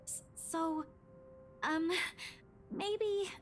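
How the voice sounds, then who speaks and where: A woman's voice: very high-pitched, very slow, a little hiss or hum, heavily acted. An actress voicing an earnest, nervous young woman in a visual novel game, with a hesitant, stammering delivery. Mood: hesitant, probing.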